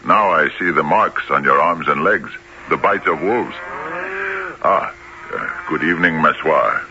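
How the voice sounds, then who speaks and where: Boastful village hunter, very low voice, huffing and officious, radio drama, muffled noisy old recording. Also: male voice, animated, unhurried, heavily acted. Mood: amused, blustering. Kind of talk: 1970s radio drama acting.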